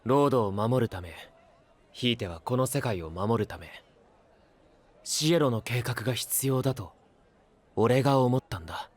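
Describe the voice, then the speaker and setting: A man voice-acting a calm, guarded, devoted young knight in an audio drama, quiet, measured and sincere. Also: medium pitch, natural voice. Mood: resolute. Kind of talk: Japanese anime-style voice drama acting.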